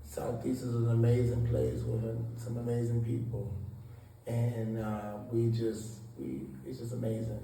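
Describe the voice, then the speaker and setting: A man's voice: medium pitch, level tone, measured pace, creaky, warm tone, distant mic. A man from Southeast Washington, D.C. answering interview questions earnestly, often restarting his words as he searches for them. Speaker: interview guest. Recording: echoey room, voice a bit off-mic, faint noise.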